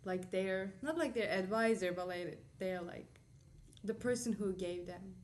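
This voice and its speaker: A woman's voice: medium pitch, measured pace, a little mellow, slight background noise. A woman in her twenties from Turkey, with a Turkish accent, talking as an interview guest, friendly and full of "like" fillers. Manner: friendly, uses many "like" fillers. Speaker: guest, college alumna. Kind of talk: casual interview.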